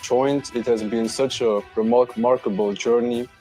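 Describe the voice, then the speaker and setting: A man's voice: mid-range voice, fairly even intonation, moderate pace, mellow, soft background music, rough recording. A young man giving an earnest testimonial about his experience. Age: young adult. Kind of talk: testimonial interview.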